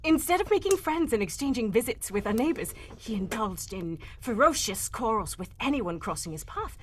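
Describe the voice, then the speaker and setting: A woman playing an anxious young gentlewoman telling her family tragedy in a live old-time radio drama. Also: fairly high pitch, big pitch swings, normal speed, a little hiss or hum. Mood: indignant.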